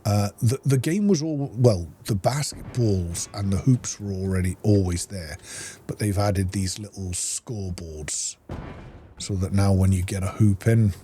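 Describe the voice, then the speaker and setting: A man giving casual playthrough commentary as a gaming host, thinking aloud in an unhurried way as he plays. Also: sits low, warm tone, a little hiss or hum.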